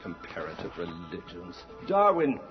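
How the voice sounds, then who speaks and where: A man's voice: sits low, huge pitch swings, normal speed. An actor playing a harsh, domineering, fanatically religious father, preachy and declamatory, in an old-time radio drama. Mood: righteous anger. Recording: muffled old radio recording with music.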